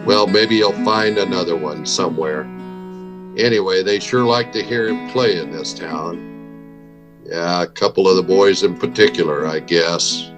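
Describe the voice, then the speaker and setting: A man's voice: sits low, unhurried, music in the background. A man playing a weary, steadfast frontier marshal in a recreated vintage radio western, speaking tersely and firmly. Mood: resigned. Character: weary, steadfast male U.S. marshal in an old West town.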